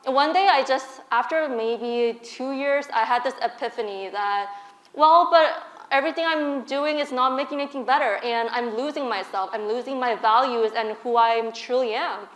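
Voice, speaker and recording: Korean-born woman on stage telling her story, earnest, bit high voice, echoey hall. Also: normal speed. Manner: earnest and open, with soft laughs. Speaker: guest speaker. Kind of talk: candid personal storytelling in a stage interview.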